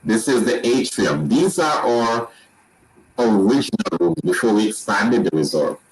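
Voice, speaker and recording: A man with a Jamaican accent, walking listeners through resort rooms and restaurants in a relaxed sales pitch with frequent restarts. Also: a little slow, a little hiss or hum, poor audio. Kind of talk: presenting a slideshow tour.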